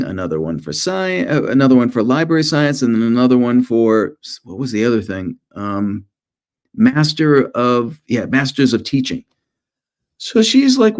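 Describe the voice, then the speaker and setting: A man speaking informally as a children's author and illustrator presenting to students, with animated storytelling and self-deprecating humor. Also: medium pitch.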